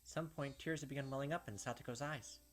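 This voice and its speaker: A young man narrating a story game for his channel, reading each line and slipping in casual remarks. His voice sits in an ordinary middle range. Soft game music plays underneath. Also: medium pitch, fast. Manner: casual, filler-heavy commentary. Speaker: gaming video host. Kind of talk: reading game text aloud with casual asides.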